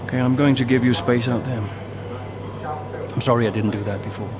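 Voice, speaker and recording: An actor playing a veteran male racing driver, proud and rueful, in a game cutscene, with a low-key, measured delivery. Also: steady tone, music in the background, natural voice. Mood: conciliatory.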